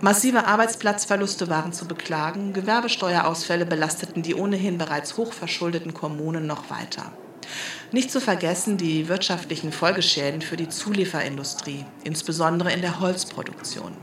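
A woman's voice: fairly low pitch, brisk, lightly acted. A woman playing a female official in a radio play, reading a formal, callous bureaucratic statement in stiff officialese. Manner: stiff officialese, stumbling over the text. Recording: echoey, distant microphone with crowd noise.

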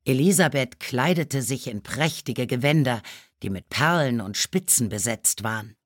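A woman's voice: a bass voice, expressive, normal speed, no room sound. Dry, close-sounding woman storybook narrator. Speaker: audiobook narrator.

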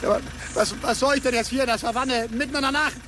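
A man's voice: extremely high pitch, fairly even intonation, fast, background noise. An actor playing an agitated, distrustful German man stranded in Africa, stammering, repeating himself and swearing in a naturalistic radio drama. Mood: frightened, pleading.

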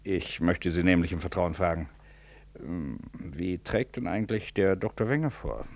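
An actor playing an officious, insinuating medical professor in a 1960s radio drama, formal and probing in manner. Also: male voice, sits low, expressive, moderate pace, a little mellow. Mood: sly, probing. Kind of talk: 1960s German-language radio drama acting.

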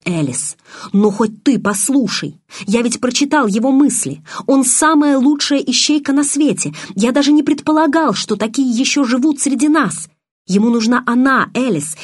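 A woman's voice: medium pitch, moderate pace, breathy edge, no room sound, studio quality, natural voice. A woman reading an audiobook, voicing an intense, commanding young man with a hot temper and a curt, irritated manner. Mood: agitated, angry.

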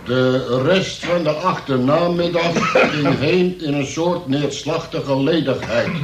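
A gloomy, resentful grown son played by a male actor tells his story for a radio play. His delivery is slow, wry and hesitant, in a slightly high male voice. The recording is roomy and a little distant. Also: a little hiss or hum, lightly acted. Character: gloomy, resentful son tied to his invalid mother, narrating. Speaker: narrator and lead character. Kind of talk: Dutch radio drama, confessional narration.

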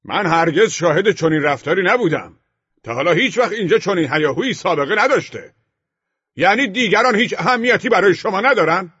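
Man acting as gaunt angry male neighbor, formal scolding, threatening, husky, echoey audiobook recording. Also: high-pitched, expressive, pushed and bright, pitched above the performer's own voice, exaggerated voice. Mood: shouting outrage. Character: tall gaunt pale neighbor, menacing, indignant, complaining man. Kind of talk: audiobook character voice. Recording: dull, muffled, echoing room recording.

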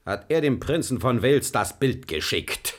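An actor playing an irate, tyrannical old king who barks commands and broods in low mutters, in a 1970s radio drama. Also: sing-song, normal speed, a little hiss or hum, natural voice. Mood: commanding.

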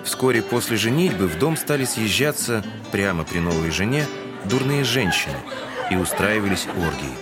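A townsman telling a literary tale in an audio drama. His voice is low, with a wry, gossipy turn to the scripted narration. Music plays clearly behind him. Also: very animated, normal speed. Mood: wry, storytelling.

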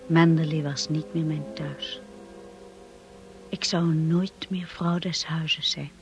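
An actress playing a devoted, wistful young wife in a radio drama, speaking in a measured and solemn way. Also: female voice, low-pitched, fairly even intonation, soft background music. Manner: slow, measured, solemn delivery. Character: Mrs de Winter, devoted young wife looking back wistfully. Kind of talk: radio drama acting and narration.

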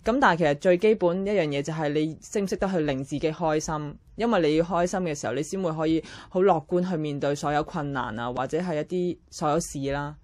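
A woman's voice: low voice, fast, few pauses, a little mellow. A young woman with a Hong Kong Cantonese accent, earnestly explaining herself in a casual interview.

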